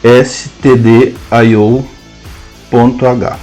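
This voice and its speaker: A man with a Brazilian Portuguese accent teaching a programming lesson, dictating code symbol by symbol with hesitant pauses. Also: mid-range voice, flat delivery, a little mellow, soft background music. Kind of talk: slow screen-cast coding lesson, dictating code aloud.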